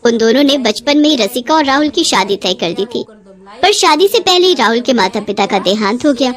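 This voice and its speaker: A woman's voice: on the high side, animated, a little fast. A woman narrating a scripted family story in a dramatic storytelling style.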